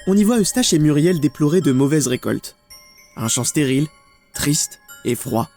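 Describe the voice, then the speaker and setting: A man reading scripted documentary-style narration for a video essay, in a measured, ominous storytelling manner. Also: fairly high pitch, expressive, dark tone. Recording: music under the voice. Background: background music.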